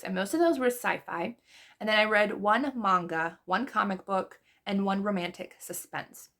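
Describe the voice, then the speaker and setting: A woman's voice: medium pitch, fairly bright tone. A woman book vlogger losing her voice, chatting casually through her monthly reading wrap-up with rambling asides and small laughs.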